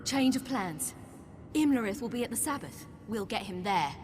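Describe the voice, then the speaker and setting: A woman's voice: on the high side, lively intonation, moderate pace, a little mellow, slight background noise, lightly acted. An actress playing a headstrong, impatient young woman warrior who speaks bluntly and defiantly in a game cutscene. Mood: determined. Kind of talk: video game cutscene acting.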